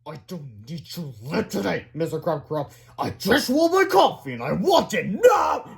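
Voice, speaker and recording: A man voicing a foul-tempered middle-aged school principal, shouting and snarling, in a children's storybook reading. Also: on the high side, lively intonation, moderate pace, gravelly. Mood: angry, demanding.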